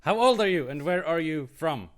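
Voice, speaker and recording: A man's voice: on the high side, expressive, normal speed. A man hosting a podcast in casual chat, with a joking, teasing manner. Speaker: podcast host.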